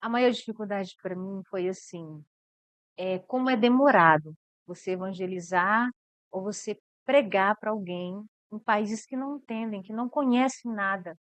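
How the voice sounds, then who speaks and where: A woman's voice: medium pitch, normal speed, a bit bright, clear, resonant tone, no echo. A woman from Brazil, a missionary guest, talking earnestly about her mission work and repeating words as she thinks.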